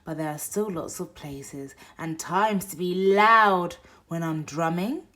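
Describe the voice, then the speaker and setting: A woman reading a picture book aloud for toddlers, expressive, moving between hushed whispers and loud exclaims. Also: on the low side, slow. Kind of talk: read-aloud bedtime story for toddlers.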